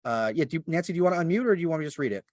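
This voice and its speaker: A man's voice: a little high, lively intonation, rapid-fire, darkish tone, no echo, clean studio sound. A man in his thirties hosting a webinar over a video call, chatting casually in loose, informal phrases. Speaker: webinar host. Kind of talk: casual chat.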